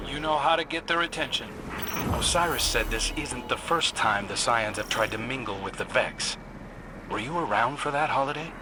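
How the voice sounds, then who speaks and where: A man's voice: medium pitch, animated, moderate pace, background noise, natural voice. A man playing an easygoing young scout in a video game, with dry, friendly, conversational banter. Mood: wry, friendly. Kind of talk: video game radio chatter.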